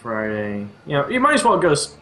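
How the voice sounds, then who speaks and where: A man's voice: on the high side, wildly swooping pitch, a little fast, sharp tone. A twenty-year-old man from Texas, chatting and wisecracking with friends. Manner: talkative, wisecracking. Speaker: co-streamer. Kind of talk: casual chat.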